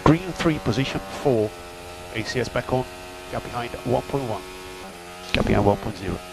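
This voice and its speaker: A man's voice: mid-range voice, animated, moderate pace, gravelly. A man working as a race engineer, giving terse, matter-of-fact technical updates in clipped phrases over team radio. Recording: noisy radio link with mechanical hum.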